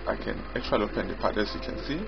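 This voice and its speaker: A man giving an unscripted software walkthrough as its developer, explaining haltingly and repetitively in short phrases. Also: on the low side, creaky. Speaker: software developer demonstrating own app. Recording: dull lo-fi audio with loud music. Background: loud music.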